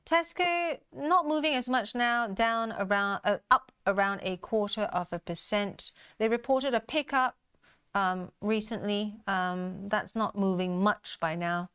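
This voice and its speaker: A woman's voice: medium pitch, a little slow, a bit bright, clean tone. A woman hosting a live trading show, chatting through stock moves with many fillers and an upbeat manner. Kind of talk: live market commentary chat.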